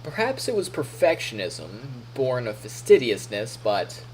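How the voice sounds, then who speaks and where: A man's voice: mid-range voice, very animated, measured pace, a little hiss or hum, poor audio. A man live streaming, reading story narration aloud in a playful, theatrical way with casual asides.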